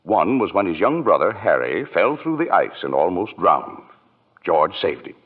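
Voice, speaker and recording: A folksy, patient American elder narrator, very deep yet animated. He explains things as a radio drama storyteller. The sound is narrow and muffled, like an old broadcast. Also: moderate pace, husky, heavily acted. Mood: patient, grave.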